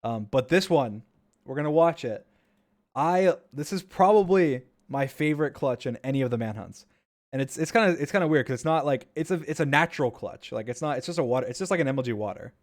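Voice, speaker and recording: A man's voice: mid-range voice, lively intonation, brisk. A man casually commenting on his own gameplay clips during a stream, rambling and repeating himself with enthusiasm.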